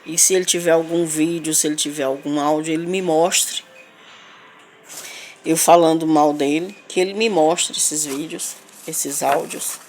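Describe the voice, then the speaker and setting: A woman with a Northeastern Brazilian accent making an unscripted spoken complaint, halting and repetitive, with emphatic insistence. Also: low-pitched, steady tone, darkish tone. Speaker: local resident making a video appeal. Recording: clear background noise, ambient sound.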